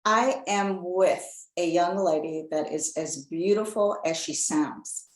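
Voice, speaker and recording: A woman hosting a podcast interview, chatting casually and telling lively stories with frequent laughter. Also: on the low side, slow. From Chicago area. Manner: lively storyteller, laughs often. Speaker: podcast host. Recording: echoey room.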